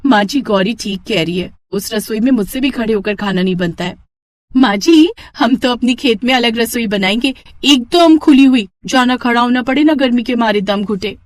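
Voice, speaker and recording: Woman voicing a high-pitched, whiny young daughter-in-law. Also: moderate pace, dry recording, lightly acted. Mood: whiny, insistent. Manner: complaining, plaintive.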